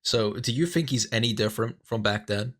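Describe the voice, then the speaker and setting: A man's voice: mid-range voice, fairly quick, fairly bright tone, breathy edge. A man hosting a casual online interview over a voice call, asking loose probing questions with plenty of filler words. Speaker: interviewer and host.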